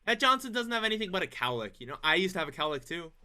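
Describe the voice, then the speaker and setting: Man playing high-pitched wisecracking 1940s radio comedian. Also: sing-song, very fast, sharp tone, clean tone, full character voice. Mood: joking, quippy. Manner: quippy, stagey patter. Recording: noisy live stage, audience sounds.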